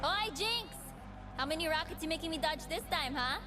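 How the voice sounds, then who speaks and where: A woman's voice: very high voice, expressive, moderate pace. A young woman voicing a spirited, cocky vigilante gunslinger in a video game, firing off brash, punchy lines. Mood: excited, scrappy. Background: music.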